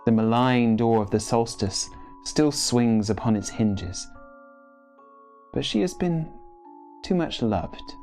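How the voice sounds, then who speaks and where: A man reading a literary story as dramatic narration, in measured, deliberate phrases with pauses. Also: medium pitch, expressive, darkish tone, faint music behind. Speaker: story reader.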